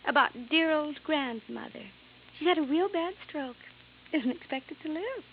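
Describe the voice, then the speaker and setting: A woman's voice: high voice, lively intonation, unhurried. A woman playing a spoiled, callous young granddaughter in a radio drama, coy and insinuating. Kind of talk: old-time radio acting. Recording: muffled, noisy vintage radio recording.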